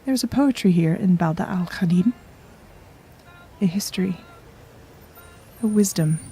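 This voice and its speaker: A woman's voice: on the low side, unhurried, warm tone, slightly rough, exaggerated voice. A woman playing a wry, self-doubting young time traveler in an audio drama, with a wistful, confiding manner. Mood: wistful, affectionate. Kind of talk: audio drama, reflective letter narration and dialogue.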